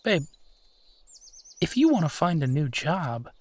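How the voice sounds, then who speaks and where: A man's voice: fairly high pitch, big pitch swings, husky, full character voice. A man playing a supportive, affectionate husband in an intimate comfort roleplay, talking in a reassuring, conversational way. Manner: reassuring, conversational, unhurried pauses. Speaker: audio roleplay performer.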